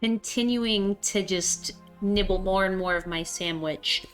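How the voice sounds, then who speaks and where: A woman's voice: medium pitch, a little slow, soft background music. A woman with a stuffy, congested voice playing a tabletop game, talking in a hesitant, giggly way. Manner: hesitant, lots of fillers, giggly. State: congested from a cold. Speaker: player. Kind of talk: casual tabletop roleplay chat.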